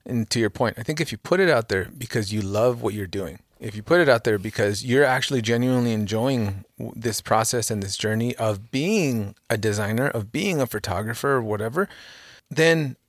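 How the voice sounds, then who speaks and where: A man in his thirties talks in an earnest, motivational way, leaning hard on the points he wants to land. His voice sits at a middle pitch and is recorded close and dry, with no room sound. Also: fairly quick, dry recording. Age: 30s. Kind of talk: casual podcast conversation.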